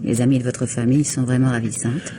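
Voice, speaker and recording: A woman's voice: a bass voice, flat delivery, fast. Reserved young lady, guarded, skeptical, terse, radio drama, noisy old recording. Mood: cool, wary. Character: reserved, skeptical young woman accosted by stranger. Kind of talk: old French radio play acting.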